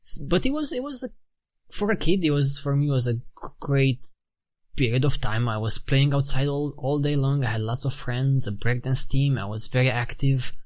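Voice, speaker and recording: A Romanian-accented man in his thirties speaks as an interview guest, mid-pitched and conversational. He thinks aloud as he talks, restarting phrases and filling with "you know" and "like". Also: normal speed, darkish tone. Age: 30s.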